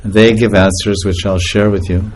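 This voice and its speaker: A man's voice: on the low side, normal speed, poor audio. A man giving an informal spiritual talk to a group, laughing often as he tells stories. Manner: laughing often, animated storytelling.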